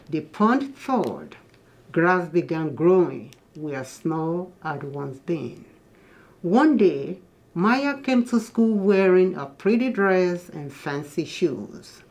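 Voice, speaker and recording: Woman reading a kids story out loud, slow pace, kinda low voice, explains stuff like a teacher. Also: lively intonation. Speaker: guest storybook reader. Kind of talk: children's picture book read-aloud with asides to listeners.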